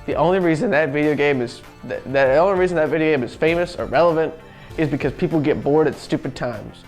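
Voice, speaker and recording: A man's voice: a little high, fast talker, soft background music. A man co-hosting a show about video games, talking through his commentary. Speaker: show co-host. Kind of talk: scripted narration and casual opinionated talk about video game films.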